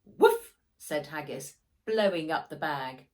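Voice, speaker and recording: A woman reading a children's storybook aloud as its author, with animated, expressive delivery and dramatic emphasis. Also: on the low side, slow pace.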